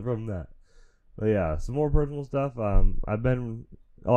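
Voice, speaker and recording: A man's voice: dark tone, dull audio. A man hosting his own show, rambling casually and frankly while feeling sick and stuffy, into a studio mic at home. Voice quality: naturally deep voice. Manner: rambling, frank, chuckles often. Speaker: podcast host. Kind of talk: casual solo chat. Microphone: studio mic through audio interface.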